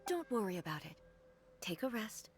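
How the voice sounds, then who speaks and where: A woman playing a calm, regal goddess ruler who speaks in a composed, measured way in a game. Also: medium pitch, music in the background, natural voice. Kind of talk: video game cutscene voice acting.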